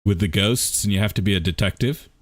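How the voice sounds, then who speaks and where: A man's voice: on the low side, quick pace. A man from London streaming a game live, answering viewer questions in a chatty way, laughing often and repeating himself as he thinks aloud. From England, London. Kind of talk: casual live-stream chat.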